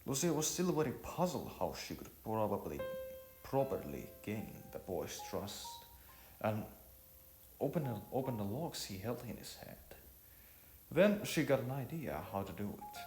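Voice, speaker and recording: A man reading a story aloud as an amateur narrator, haltingly, often repeating and correcting his words. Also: medium pitch, big pitch swings, a little mellow, reverberant, faint music behind, poor audio.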